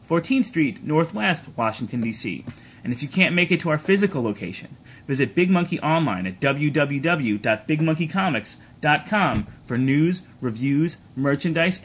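A man's voice: fairly high pitch, slight background noise. A man hosting a podcast, speaking in a presenter's style to listeners. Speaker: podcast host. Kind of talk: scripted promo reading and casual panel banter.

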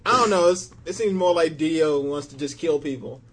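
Wry, higher-pitched man on a podcast. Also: normal speed, slight background noise. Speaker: podcast panelist. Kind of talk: casual chat.

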